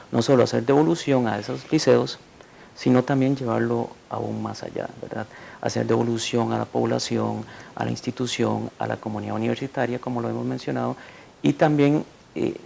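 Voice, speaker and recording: A man's voice: mid-range voice, creaky, dark tone, a little hiss or hum. A man, a researcher speaking conversationally, often tagging "verdad" and giving examples. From Costa Rica. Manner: conversational, repeats "verdad" and "por ejemplo". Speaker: researcher guest. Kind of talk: academic interview answer.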